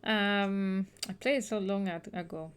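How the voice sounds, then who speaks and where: A woman's voice: medium pitch, fairly even intonation, measured pace, smooth. A woman in her thirties streaming a game, chatting casually and rambling with many restarts.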